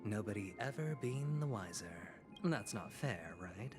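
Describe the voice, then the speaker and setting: An actor playing a smooth, scheming young male corporate negotiator in a fantasy video game, with a sly and persuasive manner. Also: lowish voice, animated, unhurried. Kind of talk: theatrical video game voice acting. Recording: game music playing behind.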